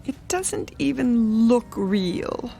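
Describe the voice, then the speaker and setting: An actress playing a vain, imperious older wife in a radio drama, theatrical and indignant. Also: female voice, a little high, very animated, very slow, vocal fry, warm tone, soft background music, full character voice. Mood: reluctant, uneasy. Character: vain, haughty older wife resisting a hearing aid.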